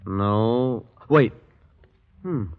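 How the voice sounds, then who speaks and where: A man's voice: medium pitch, extremely slow, clear, resonant tone. An actor playing a disillusioned young American man narrating and speaking in a 1940s radio drama. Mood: tense. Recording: muffled 1940s radio recording, music behind.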